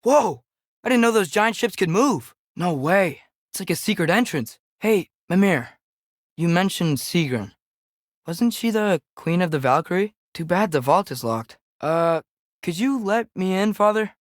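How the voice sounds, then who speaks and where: A man's voice: sits high, animated, measured pace, no echo. An earnest American teenage boy adventurer, close and dry. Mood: curious. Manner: hesitant, earnest, questioning. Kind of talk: video game character dialogue.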